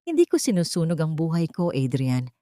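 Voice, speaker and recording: A woman voicing a wronged wife and businesswoman, firm, steady and dignified in an audio love story. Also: low voice, moderate pace, no room sound, clean studio sound. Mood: steely resolve.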